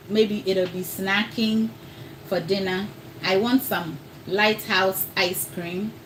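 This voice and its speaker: A woman's voice: a little high, a little hiss or hum, low-quality recording. A woman with an accent between Ghanaian and American, hosting a casual live cooking stream and chatting straight to her viewers. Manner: chatty, talks straight to viewers, calls them y'all. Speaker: cooking livestream host.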